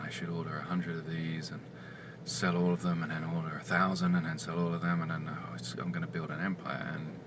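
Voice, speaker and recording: Very deep, gravelly soft-spoken man. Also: very noisy. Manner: quiet, soft-spoken, hesitant with many fillers. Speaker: independent comic writer. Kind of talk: casual vlog monologue.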